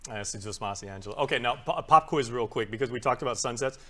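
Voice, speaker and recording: A man's voice: mid-range voice, fast, bright, forceful tone. Man, TV host, upbeat and chatty, mid-range voice. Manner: upbeat, chatty, lots of fillers. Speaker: TV show host. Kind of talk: live TV talk show hosting.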